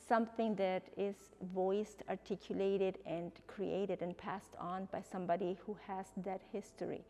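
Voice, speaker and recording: A woman from Ecuador with an Ecuadorian Spanish accent, explaining her exhibition as an artist and professor in measured, hesitant phrasing. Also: medium pitch, dark tone. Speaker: artist and art professor presenting own exhibition.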